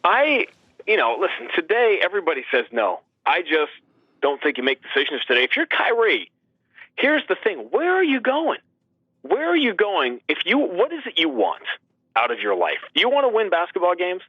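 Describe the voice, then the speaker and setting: A man's voice: high voice, wildly swooping pitch, normal speed, no room sound. Emphatic man talking sports, muffled lo-fi sound. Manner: emphatic, fond of rhetorical questions. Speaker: guest sports radio play-by-play announcer. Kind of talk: animated sports talk. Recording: dull, lo-fi radio-like line.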